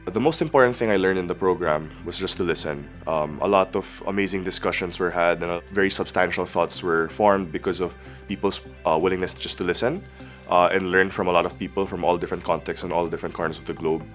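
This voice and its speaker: A young man in his twenties, a graduate student speaking calmly and deliberately in an interview testimonial. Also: low voice, faint music behind.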